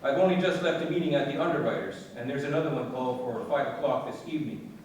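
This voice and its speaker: A man's voice: fast, darkish tone, natural voice. A man playing a businesslike insurance assessor in a radio play, formal, clipped and urgent. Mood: harried. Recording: very echoey, distant, rumbling noise.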